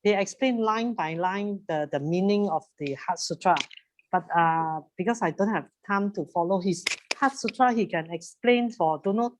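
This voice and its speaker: A woman's voice: mid-range voice, normal speed, slight background noise. Cantonese-speaking woman on a video call. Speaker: student.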